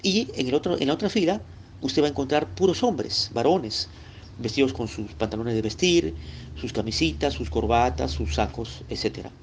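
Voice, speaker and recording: A Peruvian man from Lima, with a Lima accent and a voice of ordinary middle pitch, gives a recorded Bible lesson. He teaches in a chatty way, speaking straight to the listener and checking in with little tag questions. A faint hiss of noise sits under his voice. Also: expressive, normal speed. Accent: Peruvian Spanish, Lima. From Peru.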